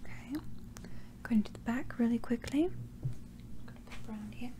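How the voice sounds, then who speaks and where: A woman whispering softly in an ASMR roleplay as a barber, gently and politely talking through each careful step. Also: on the high side, moderate pace.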